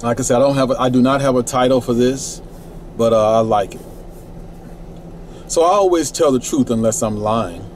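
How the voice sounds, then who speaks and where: A man's voice: medium pitch, moderate pace, long pauses, darkish tone, a little hiss or hum. A middle-aged man reciting his own rhyming spoken word poetry in a laid-back, rhythmic way from inside a parked minivan at night.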